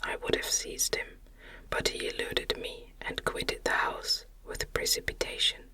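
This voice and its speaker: A narrator reading a gothic novel as ASMR, in a hushed, breathy, unvoiced whisper with deliberate delivery.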